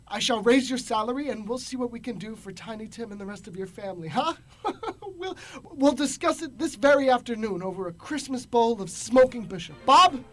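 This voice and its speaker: An old reformed miser, excitable and exclamatory, with stammering bursts. It is hearty radio drama acting, with music in the recording. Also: male voice, extremely high pitch. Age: young adult. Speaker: student actor.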